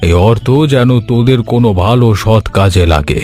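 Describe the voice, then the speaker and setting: A man plays an old village uncle in a cartoon, kindly and fatherly. He speaks with slow affection, like an elder blessing the young, over faint music. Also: mid-range voice, a little mellow, close to an everyday voice. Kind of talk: Bengali cartoon voice acting.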